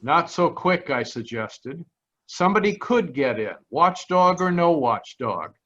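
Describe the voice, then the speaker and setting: A man's voice: a little high, very animated, a bit bright, muffled recording. Man narrating an old-time radio mystery. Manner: measured first-person storytelling. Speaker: radio actor narrating as a detective. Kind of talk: 1940s radio drama narration. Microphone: home microphone on a video call.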